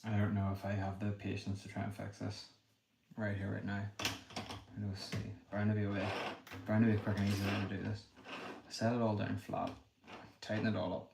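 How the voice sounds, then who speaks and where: A young man with a Northern Irish accent, thinking aloud quickly and apologetically as he chats with a livestream audience. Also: on the low side, little pitch movement, gravelly. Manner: talks quickly. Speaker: livestreamer building a brick set. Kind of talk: casual livestream chat while building. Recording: echoey room with faint noise.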